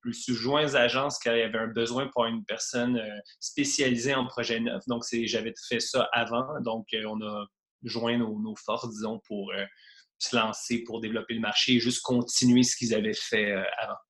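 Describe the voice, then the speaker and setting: A man's voice: medium pitch, little pitch movement, normal speed, fairly bright tone. A man in his thirties from Quebec with a Quebec French accent, chatting at ease as a podcast guest, with many fillers and restarts. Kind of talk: casual remote podcast interview.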